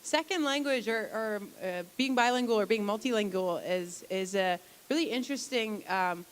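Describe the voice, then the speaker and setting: A woman's voice: mid-range voice, moderate pace. A woman lecturer answering audience questions after a public talk, explaining patiently with frequent restarts. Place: library atrium.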